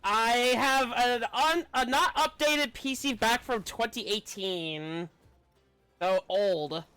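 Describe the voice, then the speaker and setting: A man's voice: extremely high pitch, slow, sharp tone, smooth, dry recording. A man giving casual live-stream commentary while playing a video game. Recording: faint game music behind. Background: game audio with music.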